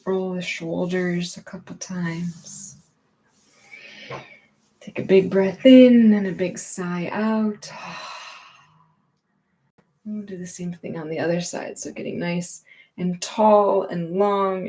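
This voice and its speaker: A woman teaching gentle movement and meditation in a reassuring, permissive, unhurried manner. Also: on the low side.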